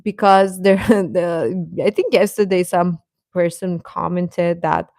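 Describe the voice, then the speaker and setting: A woman hosting a casual fan livestream, rambling and halting as she replies to comments, often with a chuckle in her talk. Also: on the low side, fairly even intonation, gravelly, darkish tone, no echo, clean studio sound. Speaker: host of a K-pop fan channel.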